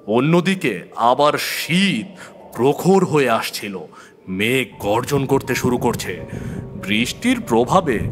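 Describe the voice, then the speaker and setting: A man's voice: medium pitch, fairly bright tone. A man narrating a horror story in the first person in a dramatic storytelling style. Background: background music.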